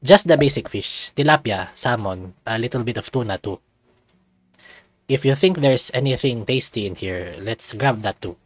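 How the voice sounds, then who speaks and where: Guy doing an upbeat young otter dude from a visual novel, casual n eager, really lively swings. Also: mid-range voice, moderate pace, dry recording, lightly acted. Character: upbeat young male otter, friendly local guide. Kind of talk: visual novel character voice read aloud.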